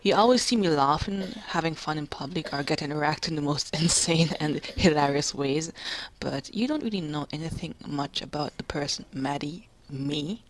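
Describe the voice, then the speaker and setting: Low-voiced young woman, soft and halting. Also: slight background noise. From Jamaica. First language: English. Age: 20s. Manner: soft-spoken, halting, quiet and calm. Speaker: gamer. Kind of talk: personal commentary.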